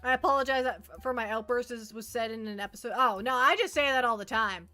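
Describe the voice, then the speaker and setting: Woman, livestream host, bright forceful tone, high pitch, casual chat, repetitive playful rambling. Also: quick pace, faint music behind. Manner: repeats phrases, playful rambling.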